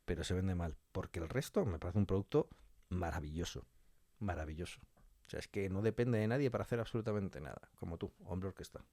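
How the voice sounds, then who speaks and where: Low-voiced man from Spain, close and dry. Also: brisk, dark tone, no room sound. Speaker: interview guest, audio fiction scriptwriter. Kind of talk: casual podcast conversation.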